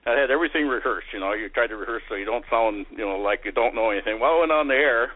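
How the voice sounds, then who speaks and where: A man's voice: medium pitch, racing, no room sound. A man hosting a casual radio chat, easygoing and agreeable. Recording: tinny dry phone-like sound.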